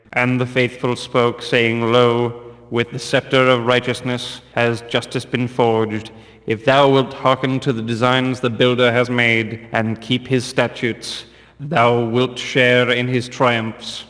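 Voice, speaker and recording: A man's voice: medium pitch, fairly bright tone, natural voice. Man as a grave preacher in a reverberant hall. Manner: solemn, oratorical, archaic. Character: grave preacher reciting a builder cult's scripture. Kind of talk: scripture recitation in a fantasy game. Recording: long reverberant hall echo.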